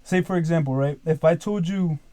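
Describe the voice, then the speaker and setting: A man's voice: on the high side, a little fast, dark tone. A 20-year-old man talking casually as a podcast guest, rambling and leaning heavily on fillers like "like" and "dude". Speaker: podcast guest, business owner.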